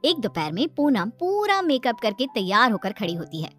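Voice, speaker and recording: A woman's voice: on the high side, big pitch swings, moderate pace, a bit bright, faint music behind. A woman narrator reading a scripted children's moral story.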